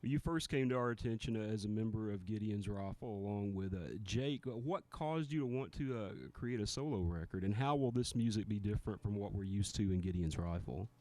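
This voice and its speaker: A man's voice: lowish voice, a little fast, hardly any pauses, a little mellow. A man hosting a live radio interview in a studio, asking his guest questions in a friendly, plain way. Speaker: radio host.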